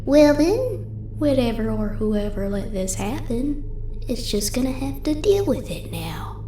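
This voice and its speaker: A smug young cartoon pony villain, played by a woman, breezy and confident with a drawl. The voice is high and very lively, with a roomy echo around it. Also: measured pace. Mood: carefree, brash. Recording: roomy echo under music.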